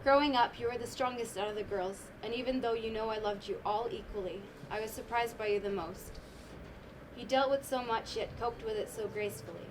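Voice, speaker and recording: A woman's voice: a little high, a little fast, close to an everyday voice. A woman acting a loving, dying mother, reading a farewell letter as an amateur stage monologue. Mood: tender, sorrowful. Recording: noisy live stage recording.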